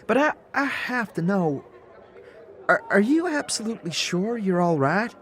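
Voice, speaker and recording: A woman's voice: mid-range voice, big pitch swings, a little slow. An actress playing an eager young woman student in an audio drama, stammering and apologetic.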